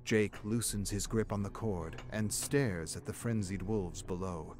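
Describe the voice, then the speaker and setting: An actor narrating a dramatic story in a solemn, measured way as a storyteller. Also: male voice, lowish voice, a little mellow, natural voice. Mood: earnest, reflective. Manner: solemn, measured storytelling that also voices the characters' dialogue. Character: solemn storyteller narrating a young man's troubled memories. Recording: background music under the narration.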